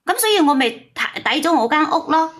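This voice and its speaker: A woman's voice: high-pitched, sing-song, brisk, fairly bright tone. A woman arguing on a live call-in, defensive and plaintive as she explains herself.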